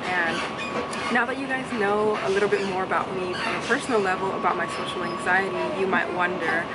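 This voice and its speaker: A young woman talking candidly to a handheld camera in a casual vlog, soft-spoken with frequent ums, filming on a bus and in a supermarket. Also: lowish voice, steady tone, fairly quick. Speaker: vlogger. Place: busy public places in a city, on a bus and in a crowded supermarket. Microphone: handheld self-filming camera. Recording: loud crowd and street noise. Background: crowd chatter and traffic noise.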